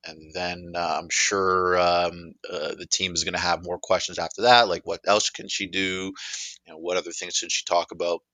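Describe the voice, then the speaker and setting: A man presenting a casual product demo, putting questions to a voice assistant in hesitant, halting phrases. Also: low-pitched, level tone, bright, forceful tone, dry recording, low-quality recording.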